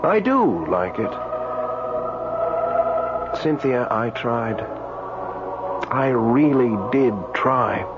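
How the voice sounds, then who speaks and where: Radio drama lead: gentle-hearted dead man, slow, wondering, earnest, loud music underneath, dull sound. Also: medium pitch, sing-song, heavily acted. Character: wistful, earnest dead man arriving in the afterlife.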